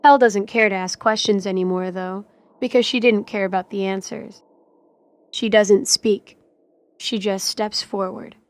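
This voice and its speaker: A woman's voice: medium pitch, steady tone, unhurried, no echo. Woman narrating a dramatic story.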